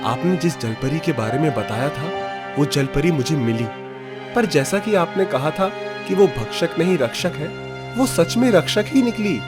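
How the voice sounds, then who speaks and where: A man's voice: fairly high pitch, expressive, normal speed, music in the background, natural voice. A man playing a young, stubborn, suspicious fisherman in a dramatised cartoon story, emphatic and dramatic. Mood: doubtful.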